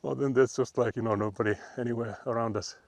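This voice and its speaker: A man's voice: medium pitch, fairly even intonation, fast, gravelly, a little mellow, no room sound. Chatty Finnish-accented man outdoors. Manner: chatty, laughs often, reads chat aloud. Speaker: streamer. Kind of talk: casual live-stream chat while walking. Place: outdoors on a frozen lake.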